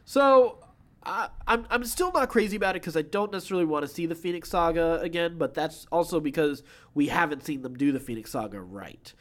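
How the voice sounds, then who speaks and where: A man's voice: fairly high pitch, fairly quick, fairly bright tone. A man co-hosting a podcast, chatting casually about film news. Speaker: podcast co-host.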